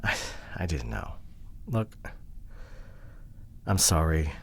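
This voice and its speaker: A man playing a hurt, introverted, awkwardly apologetic boyfriend in an ASMR roleplay audio drama, speaking haltingly and close to the microphone. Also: rumbling low, big pitch swings, gravelly, breathy edge, heavily acted. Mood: apologetic, tender. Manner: hesitant, halting, sighing delivery with soft drops. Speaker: voice actor. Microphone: close microphone, dry.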